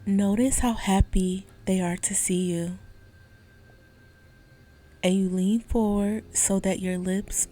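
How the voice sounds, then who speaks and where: A woman guiding a visualization meditation in a soft, slow, soothing voice, often dropping to a whisper. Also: medium pitch. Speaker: meditation guide.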